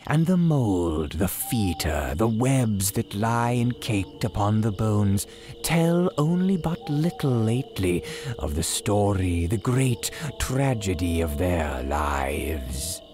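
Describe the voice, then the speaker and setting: A man playing a courteous, gleefully sinister cemetery keeper, telling horror tales in grand, archaic, drawn-out phrases like old-time radio drama. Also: lowish voice, sing-song, over music. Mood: courteous, macabre. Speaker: horror storyteller host.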